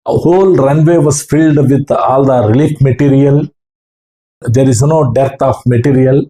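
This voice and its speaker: A man with a South Indian accent recounting his experience as an amateur radio operator in halting, earnest short phrases. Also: mid-range voice, fairly even intonation, mellow. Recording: roomy, echoing room sound.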